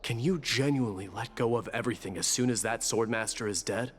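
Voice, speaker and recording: A man voicing a stern, scholarly warrior in a video game, speaking in a grave, measured and formal way. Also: medium pitch, a little hiss or hum, close to an everyday voice. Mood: grave, bitter. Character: solemn, stern, scholarly male warrior with blunt wisdom. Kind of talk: video game voice acting, dramatic dialogue. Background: background music.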